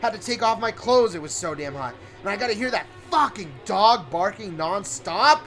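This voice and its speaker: A menacing, foul-mouthed horror villain, acted out by a male narrator, rants in a loud, strained, raised voice. He is sneering and exasperated, with a mocking edge. Music plays clearly behind him. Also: high-pitched, expressive, normal speed, pitched above the performer's own voice. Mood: mocking, ranting. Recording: prominent music under the voice.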